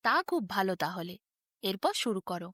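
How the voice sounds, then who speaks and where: A woman's voice: medium pitch, expressive, normal speed, a bit bright, no echo, clean studio sound, voice pushed down. A woman voicing an impatient, demanding king in a folk tale, curt and commanding.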